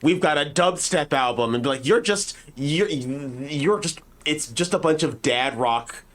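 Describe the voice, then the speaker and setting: A man with a fairly high-pitched voice chatting as a podcast co-host. He riffs casually with sarcasm and mockery. His takes come across as opinionated and joking. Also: a little slow, fairly bright tone.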